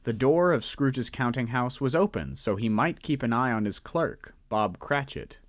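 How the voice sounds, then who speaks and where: Male narrator for a radio play, measured and expressive, with a lively voice and dry close sound. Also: medium pitch, dry recording. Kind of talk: scripted storybook narration.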